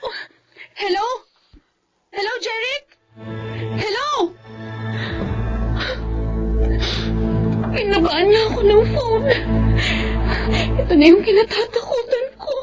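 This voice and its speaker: A woman's voice: extremely high pitch, unhurried, pauses often, over music. A woman playing a distraught, pleading young pregnant student in an emotional drama, speaking tearfully with a Filipino accent. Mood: panicked, hurt. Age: young adult. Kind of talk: emotional radio-drama acting.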